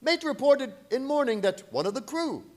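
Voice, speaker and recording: Grave ship's captain with a high, swooping voice played by a man. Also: expressive, moderate pace. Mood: brisk, formal. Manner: terse, telegraphic, dramatic delivery. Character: grave, fearful foreign ship's captain reading his log. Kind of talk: radio play acting, clipped log entries.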